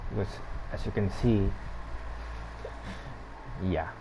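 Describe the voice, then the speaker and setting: Slow, low-voiced man commentating on a game. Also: background noise. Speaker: gamer giving gameplay commentary. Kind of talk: casual gameplay commentary. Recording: game music behind the voice.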